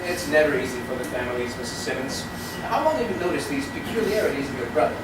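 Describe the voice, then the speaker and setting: Casting: male actor as earnest, authoritative psychiatrist for live stage comedy, animated intonation, heard from far off in heavy noise. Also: medium pitch, lightly acted. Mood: soothing. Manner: clinical and measured, turning to urgent barked orders. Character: earnest, self-assured male psychiatrist, easily alarmed, commanding. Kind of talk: comic stage play performed live. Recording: distant, echoey, very noisy hall recording.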